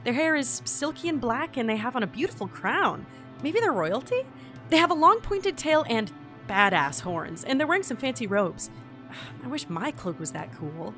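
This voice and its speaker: A woman playing an excitable, irreverent field agent logging a mission in an audio drama, informal and easily flustered. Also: on the high side, moderate pace, faint music behind, exaggerated voice. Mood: curious. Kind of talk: scripted audio drama acting.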